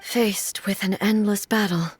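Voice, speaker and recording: A woman playing a solemn, resolute female ruler in a video game, speaking formally and in a measured, stately way. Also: medium pitch, steady tone, husky. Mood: grave. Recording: music under the voice.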